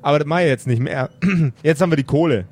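A man's voice: on the high side, fast talker, darkish tone, lightly acted. A man playing a chatty, irritable necromancer in improvised tabletop role-play, rambling and repeating himself in crude slang. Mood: boastful, rambling. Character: chatty, brash, irritable necromancer running a business.

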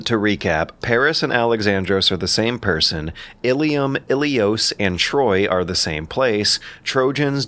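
Low-voiced man giving scripted commentary. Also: fairly bright tone. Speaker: narrator and commentator.

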